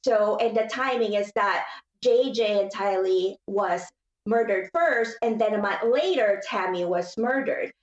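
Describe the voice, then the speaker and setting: Roomy muffled audio, woman, legal commentator, measured, explanatory panel talk. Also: medium pitch.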